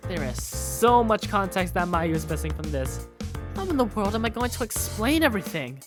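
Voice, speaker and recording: A woman reading first-person narration and lead lines for a game fandub in an expressive, conversational way. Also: mid-range voice, moderate pace. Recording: faint music behind the voice.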